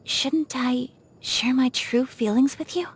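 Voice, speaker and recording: A woman's voice: high voice, slow pace, slightly rough, heavily acted. A woman voicing a refined, reserved young noblewoman of a samurai clan in a video game, speaking formally and politely with poise. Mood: reserved, wistful. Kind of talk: scripted game character voice lines.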